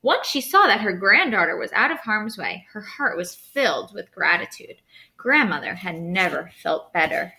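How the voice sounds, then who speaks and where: A woman narrating a children's storybook aloud as a storyteller, expressive and dramatic, stressing key words. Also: mid-range voice, normal speed, vocal fry.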